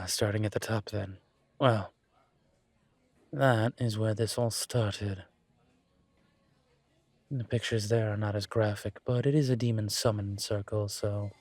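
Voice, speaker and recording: A man playing a calm, courteous incubus professor and investigator in an intimate audio roleplay, speaking considerately with careful pauses. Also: lowish voice, no room sound. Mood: explanatory. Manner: measured, considerate, hesitant pauses. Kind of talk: scripted audio roleplay, intimate one-sided conversation.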